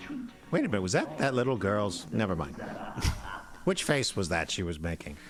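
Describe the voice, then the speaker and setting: A man's voice: low voice, very animated, normal speed, over music. A man co-hosting with casual, joking commentary. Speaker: show co-host.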